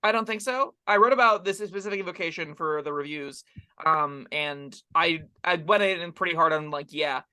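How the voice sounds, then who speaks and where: A man co-hosting a casual tabletop game discussion, rambling in an animated way and slipping into acted-out asides. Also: fairly high pitch, normal speed, clean tone. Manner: animated, rambling, acts out short quips in asides. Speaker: co-host and tabletop game reviewer. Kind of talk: casual two-person discussion.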